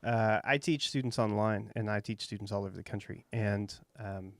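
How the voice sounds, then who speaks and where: A man's voice: lowish voice, moderate pace, no room sound. Laid-back texan guy in his 30s, light drawl, dry close mic, folksy chat host. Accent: light Texas. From Texas. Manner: relaxed, folksy, thinks aloud with fillers. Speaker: podcast host. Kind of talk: casual interview chat.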